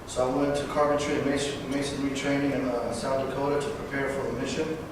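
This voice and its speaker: A man in his twenties from Northern California, a veteran giving a personal talk, halting at times with fillers and self-corrections. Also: medium pitch, little pitch movement. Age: 20s. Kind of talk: personal speech read to an audience. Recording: distant, echoey hall recording with background noise.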